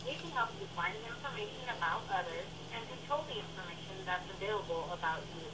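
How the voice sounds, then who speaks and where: Lively female phone-menu voice, noisy line. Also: medium pitch. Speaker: automated phone system announcer. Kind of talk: scripted hold message and menu prompts. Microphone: heard down a phone line. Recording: distant, noisy telephone line.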